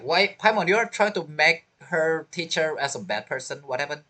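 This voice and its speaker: A man's voice: on the high side, expressive, normal speed, bright, forceful tone. A man giving casual, playful reaction commentary on a video game story, chiming in informally.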